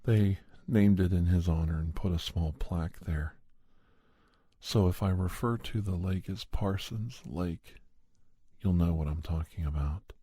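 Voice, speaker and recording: Low, dark-voiced man as a slow storytelling doctor. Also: slightly rough, no echo. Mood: measured, reflective. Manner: measured, halting, deliberate. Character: calm doctor recounting a monster hunt. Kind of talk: slow ASMR horror storytelling.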